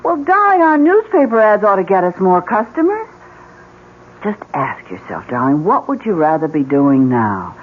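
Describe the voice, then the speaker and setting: Coaxing, optimistic wife in vintage radio drama. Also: female voice, medium pitch, sing-song, moderate pace, slightly rough. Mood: reassuring. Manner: coaxing, reasoning. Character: patient, optimistic wife soothing her worried husband. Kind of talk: 1970s radio drama acting. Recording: thin, phone-like old radio broadcast.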